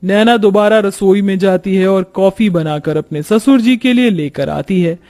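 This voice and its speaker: A man's voice: sits high, moderate pace, mellow, faint music behind. A man narrating a scripted cartoon family story.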